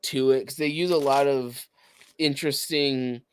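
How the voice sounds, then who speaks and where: A man co-hosting a podcast, explaining things casually in a halting, searching way. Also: medium pitch, fairly even intonation, clear, resonant tone. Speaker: podcast co-host.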